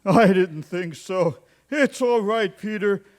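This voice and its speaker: A man acting a jolly, quick-witted department store Santa who is kindly with children, in a live stage radio play. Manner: booming ho-ho-ho greetings, dry asides. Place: live on stage at a recreation center.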